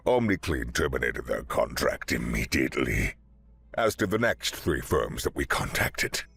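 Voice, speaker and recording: A man doing a jittery amphibian curator who stammers and croaks out his words with a wet, husky rasp. The voice sits low but swoops widely up and down in alarm, over soft background music. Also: sing-song, unhurried, exaggerated voice. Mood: desperate. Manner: stammering, anxious.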